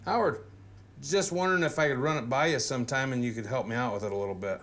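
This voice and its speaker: A man's voice: mid-range voice, quick pace, fairly bright tone. A man, a small-town mayor chatting casually and joking at his own expense. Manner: joking, self-deprecating. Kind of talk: casual chat.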